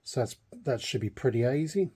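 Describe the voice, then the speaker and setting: A man's voice: medium pitch, animated, fairly quick, mellow, slightly rough. A man with an Australian accent giving a casual, unscripted show-and-tell, hesitant and meandering as he goes. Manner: hesitant, meandering delivery with trailing thoughts. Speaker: hobbyist vlogger.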